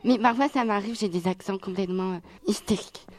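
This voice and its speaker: A woman's voice: medium pitch, fast. Hesitant chatty young woman. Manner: hesitant, many fillers, chatty. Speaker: audience member asking questions. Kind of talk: casual question.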